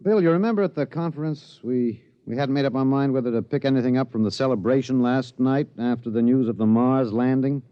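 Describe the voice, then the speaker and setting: A man playing an excited, obsessed radio reporter with an American accent, stammering and emphatic in 1950s radio drama style. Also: medium pitch, a little fast, hardly any pauses, clear, resonant tone, no room sound, lightly acted. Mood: eager. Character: excited radio newsman, agitated, obsessed with breaking a cover-up. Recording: dull vintage radio recording.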